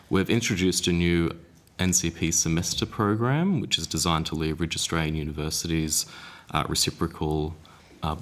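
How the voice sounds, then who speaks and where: Man, very deep voice, government official, formal briefing, steady, factual. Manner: steady, factual, figure-heavy.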